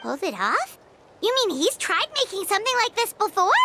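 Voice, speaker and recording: A woman voicing a small, excitable fairy girl companion in a video game, childlike and inquisitive. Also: very high voice, brisk, noisy background, heavily acted. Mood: curious.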